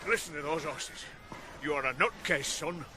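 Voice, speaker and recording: A man's voice: on the high side, normal speed, a crowd in the background, lightly acted. A male actor playing a stern, blunt middle-aged farm boss in a radio drama, scolding as he speaks. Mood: angry, scolding. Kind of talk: 1980s radio drama acting.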